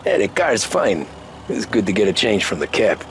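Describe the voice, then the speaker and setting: An actor playing a quiet, polite former male cab driver in a video game. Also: fairly low pitch, very animated, moderate pace, creaky, slight background noise. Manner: reserved, polite.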